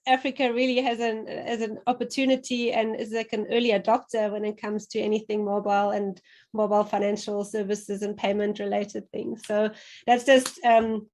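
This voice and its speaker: A woman's voice: mid-range voice, flat delivery, normal speed, darkish tone. A woman with a German accent, speaking as a panelist and thinking aloud with many fillers and restarts. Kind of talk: panel discussion.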